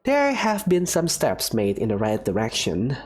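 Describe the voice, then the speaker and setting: A man voicing a calm female academy professor who lectures in a measured, dryly teasing way. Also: medium pitch. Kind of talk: fan visual novel voice acting.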